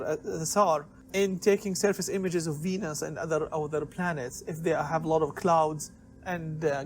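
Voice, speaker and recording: A man giving an informal explanatory lecture in a didactic way, posing questions and answering them himself. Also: high voice. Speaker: lecturer in biomedical engineering.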